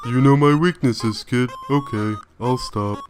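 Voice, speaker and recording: A man voicing a laid-back wisecracking skeleton in a comic dub, casual and deadpan with a quiet threat. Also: mid-range voice, unhurried, lightly acted. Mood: dry teasing. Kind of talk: fan comic dub acting. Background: faint music.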